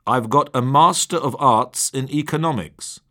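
A man reading English phrases one by one, slowly and distinctly, for a language lesson. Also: medium pitch, bright, forceful tone. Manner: reads each word or phrase slowly and distinctly. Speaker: phrase reader.

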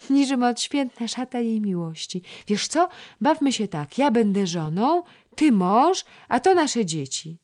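A woman voicing a chatty, playful little girl who coaxes a boy with a high, lively childlike voice. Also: close to an everyday voice.

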